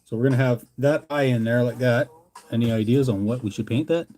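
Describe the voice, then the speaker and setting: A man's voice: medium pitch, fairly quick, mellow. Laid-back, folksy Canadian man chatting. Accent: Canadian English. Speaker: livestream host, hobbyist lure maker. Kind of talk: casual chat with live viewers.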